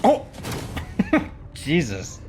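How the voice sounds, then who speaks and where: Animated man casually reacting to game cutscenes. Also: on the high side, very slow, background noise. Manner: mild exclamations, thinking aloud. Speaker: gaming video host reacting to cutscenes.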